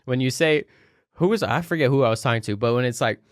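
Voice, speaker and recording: A young man in loose podcast chat, joking and full of fillers, his voice leaping up when he mimics relatives. The sound is dry and close, with no room around it. His pitch sits in an ordinary middle range. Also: fast talker, dry recording. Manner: animated, joking, lots of fillers, jumps high in pitch when quoting people. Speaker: podcast co-host. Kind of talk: casual chat.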